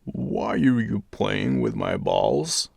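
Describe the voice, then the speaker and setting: A man voicing a huge storybook giant, gruff and commanding, with loud, drawn-out, emphatic delivery. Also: on the low side, wildly swooping pitch, no room sound, clean studio sound, full character voice. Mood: angry.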